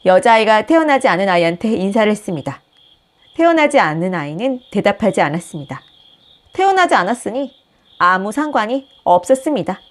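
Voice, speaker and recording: A woman host reading a picture book aloud with gentle, expressive storytelling. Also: medium pitch, fairly quick. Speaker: storybook reader, channel host.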